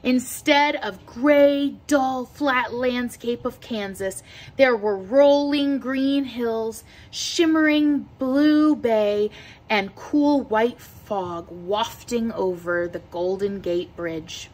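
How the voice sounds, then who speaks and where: A woman reading a children's picture book aloud with expressive, deliberate storytelling delivery. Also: on the high side. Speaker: read-aloud teacher.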